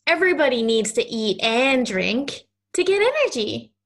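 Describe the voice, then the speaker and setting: A woman's voice: on the high side, big pitch swings, moderate pace. A woman playing a lonely, stubborn ten-year-old girl in an audio play, speaking in an earnest, insistent, childlike way. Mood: curious, insistent.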